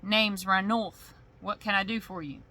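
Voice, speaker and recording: A woman doing a gruff, indignant male sheriff. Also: medium pitch, a little slow. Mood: sneering. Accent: put-on thick rural drawl. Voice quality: loud, indignant, rising when challenged. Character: gruff male village sheriff, defensive and overworked. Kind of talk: bedtime story reading.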